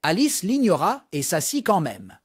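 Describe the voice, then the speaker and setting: A man narrating a scripted storybook for young children in an expressive read-aloud manner. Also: high voice, moderate pace, breathy edge, no echo, clean studio sound.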